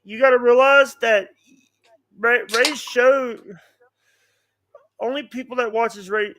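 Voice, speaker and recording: A man chatting casually as a livestream guest, halting and often repeating his phrases as he goes. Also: very high voice, steady tone, fairly bright tone, slight background noise. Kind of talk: casual chat.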